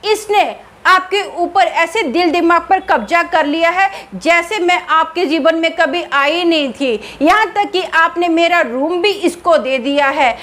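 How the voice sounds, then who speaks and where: A woman voicing the jealous ghost of a dead young wife, high-pitched, bitter and accusing. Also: measured pace, hardly any pauses, fairly bright tone.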